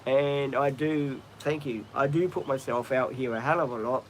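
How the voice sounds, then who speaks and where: A man's voice: medium pitch, normal speed. Chatty Australian man, noisy outdoor stream. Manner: chatty, rambling, frequent ums and restarts. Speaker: livestream host. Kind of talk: casual live-stream chat. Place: outdoors, birds in trees nearby.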